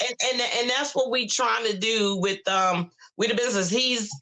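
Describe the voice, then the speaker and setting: A woman over fifty with a bright, forceful voice chats easily as a podcast guest. She stops, restarts and repeats words as she thinks aloud. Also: on the high side, moderate pace. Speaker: podcast guest, cigar brand ambassador. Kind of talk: casual chat.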